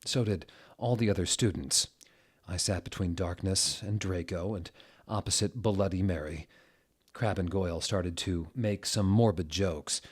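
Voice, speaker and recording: A man giving a comic dramatic reading of a story, theatrical and deliberate, with incredulous asides. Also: low-pitched, husky. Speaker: dramatic reader of bad fan fiction.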